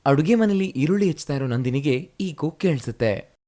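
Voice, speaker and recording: A man narrating a cartoon moral story in a steady, explanatory way. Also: fairly high pitch, brisk.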